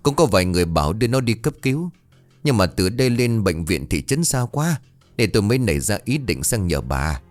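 A man voicing a middle-aged village man who talks fast and urgently. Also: lowish voice, big pitch swings, soft background music. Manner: hurried, pleading.

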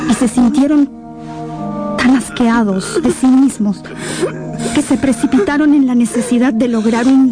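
A woman narrator telling a radio novel in a solemn, suspenseful, dramatic scripted style. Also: a little high. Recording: dramatic music behind the voice.

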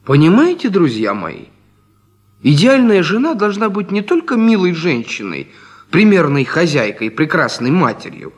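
Husky, high-voiced opinionated man. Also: huge pitch swings, moderate pace, full character voice. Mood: self-assured. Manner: confiding, holding forth to friends. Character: opinionated man musing on the ideal wife. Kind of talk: humorous monologue in a radio play. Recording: 1970s Soviet radio recording.

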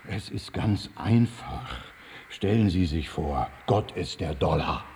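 An actor playing a pompous prison priest in a German radio drama, lecturing emphatically in a preachy manner. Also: male voice, sits low, lively intonation, slow pace, vocal fry, darkish tone, a little hiss or hum, exaggerated voice. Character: pompous male prison priest explaining God through money.

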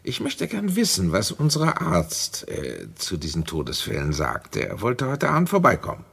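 An older widowed general and father in a radio play. His voice is low and husky, and it moves through a wide range. He is courtly and easily stirred. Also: huge pitch swings, normal speed, fairly bright tone, full character voice. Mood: concerned, courteous. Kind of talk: scripted German radio drama acting.

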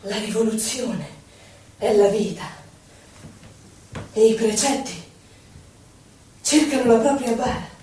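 A woman giving a theatrical poetry recital for radio, deliberate and pausing between words. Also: on the high side, expressive, dark tone, slight background noise. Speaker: poetry performer. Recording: echoey distant recital over music. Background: music.

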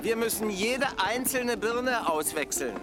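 A bossy engineer in a radio drama. He speaks high for a man, brusque and instructing, with clear rattling ambient noise around him. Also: lively intonation, a little slow. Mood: brisk, impatient. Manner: brusque, matter-of-fact, instructing. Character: brusque, bossy male engineer maintaining the landscape lighting. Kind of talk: German radio drama acting.

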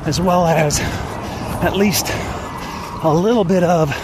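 A man in his fifties hosting a personal podcast monologue, speaking deliberately in short phrases with pauses. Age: 50s. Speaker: podcast host. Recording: noisy outdoor recording with traffic rumble.

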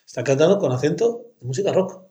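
A man's voice: fairly high pitch, expressive, fast, warm tone, reverberant, highs cut off. A man from in casual chat about music, talking in long rambling arguments with slangy fillers.

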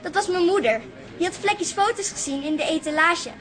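An earnest little girl in a radio play, very high in pitch. Her speech is lively and sing-song, full of devotion to her pet. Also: a little fast. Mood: relieved, earnest.

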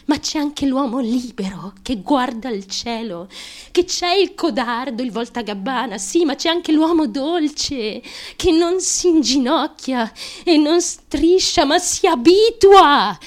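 Casting: naive, fiercely determined young woman hungry for fame; high-pitched, slow, halting stage monologue for radio. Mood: earnest, defiant. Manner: halting, repetitive, obsessive confiding. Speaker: stage actress.

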